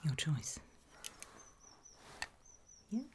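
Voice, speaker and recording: A British woman whispering a creepy ASMR roleplay as a calm, reassuring gravedigger, hushed and matter-of-fact with long pauses. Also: background noise.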